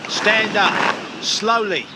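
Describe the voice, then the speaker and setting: A man's voice: sits high, takes it slowly, very noisy. A man playing a firm male security guard in a radio drama, giving orders.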